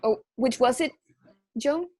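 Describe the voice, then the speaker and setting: A woman, a singer guesting on a podcast, chatting in a relaxed, easygoing way. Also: high voice, slow, dry recording, studio quality. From Mexico. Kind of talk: casual interview chat.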